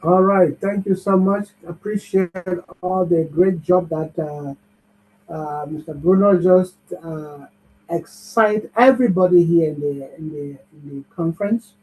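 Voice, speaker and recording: A man's voice: high voice, measured pace, darkish tone. A man hosting an online bootcamp, upbeat and cheering the audience on over a video call. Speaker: bootcamp host. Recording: muffled video-call audio.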